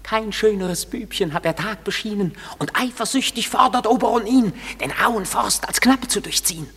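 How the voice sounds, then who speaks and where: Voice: mid-range voice, moderate pace, a bit bright, slightly rough, big-room sound. A performer playing a mischievous sprite of the fairy king in a classic radio play, declaiming verse.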